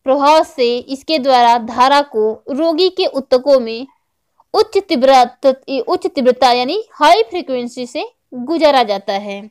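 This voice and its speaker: A woman's voice: high voice, no room sound. A woman with an Indian accent giving an explanatory lecture as a teacher, repeating and rephrasing key points. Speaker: online physical education teacher. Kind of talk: explanatory exam-prep lecture.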